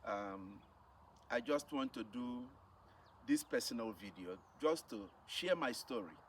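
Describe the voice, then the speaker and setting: A man's voice: medium pitch, lively intonation, measured pace. A middle-aged man with a Nigerian accent tells a personal testimony as a missionary, speaking emphatically and repeating phrases for effect. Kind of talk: personal testimony, storytelling to camera. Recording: distant mic with faint background noise.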